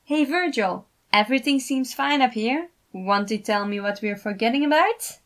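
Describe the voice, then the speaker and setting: A woman voicing an earnest, caring young man in an expressive fan fiction reading. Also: fairly high pitch, moderate pace, voice pushed up, natural voice. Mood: dramatic, calling out. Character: earnest, caring young man, upbeat but concerned host.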